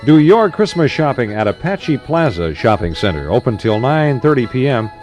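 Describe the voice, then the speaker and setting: A man's voice: medium pitch, very animated, normal speed, darkish tone, faint music behind. An American man working as a 1960s AM radio DJ, reading announcements in a conversational announcer style with short asides. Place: radio studio.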